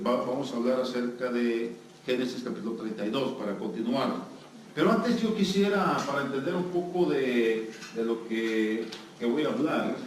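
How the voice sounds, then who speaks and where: A man with a Salvadoran accent speaking as a guest pastor to a congregation, engaging listeners with questions in a joking manner. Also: mid-range voice. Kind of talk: sermon to a live congregation, interactive. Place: church hall with congregation. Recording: echoey hall, distant mic, noisy.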